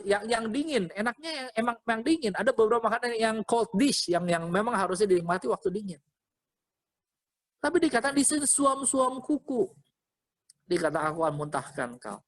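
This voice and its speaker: A man preaching as a pastor in an informal, interactive way, repeating phrases and checking in with listeners. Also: on the high side. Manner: interactive, often checking with listeners using short questions; animated, exhorting, repeats phrases. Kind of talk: informal conversational sermon to an online congregation.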